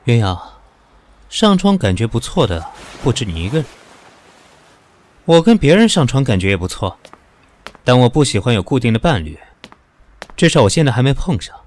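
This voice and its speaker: A man acting in an audio drama as a cool, sharp-tongued professional who is weary and dismissive of his pushy lover. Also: medium pitch, big pitch swings, normal speed, long pauses, lightly acted. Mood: cold, dismissive.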